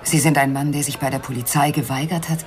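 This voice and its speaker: An actress playing an elegant, worried wife in a radio crime drama, composed and quietly pleading in a measured, refined manner. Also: female voice, low-pitched, steady tone, close to an everyday voice. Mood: quiet, determined.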